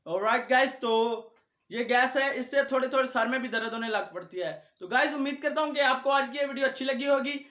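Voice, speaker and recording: Young guy doing a vlog, really high voice, bit of an echoey room. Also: fairly quick, sharp tone. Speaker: video creator, host. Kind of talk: vlog talk to viewers.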